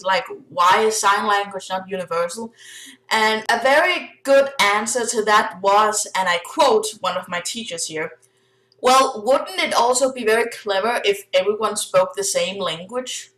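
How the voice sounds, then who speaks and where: A young woman with a Danish accent talks casually to the camera, full of ums and little laughs. Her voice sits at an ordinary pitch for a woman. The room around her is noticeably echoey. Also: unhurried, fairly bright tone. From Denmark. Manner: chatty, giggly, many fillers. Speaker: vlogger. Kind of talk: casual vlog chat.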